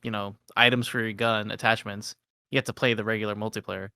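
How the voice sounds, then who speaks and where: A man's voice: on the low side, little pitch movement, fast talker, pushed and bright, clear, resonant tone, no echo, studio quality. A man co-hosting a gaming podcast, explaining things in a casual, rambling way. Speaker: podcast co-host.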